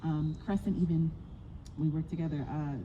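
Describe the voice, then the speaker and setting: Woman, director, halting, reflective, live Q&A, dull muffled sound, clear background noise. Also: low-pitched, fairly even intonation, warm tone. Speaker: audio play director. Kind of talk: live Q&A talk. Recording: muffled, noisy live event recording.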